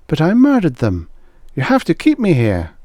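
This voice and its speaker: A man's voice: a little high, huge pitch swings, moderate pace, a little mellow, no room sound, studio quality, voice pushed up. A man voicing a desperate, insistent married woman in an audiobook story, pleading and urgent. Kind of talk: audiobook character voice.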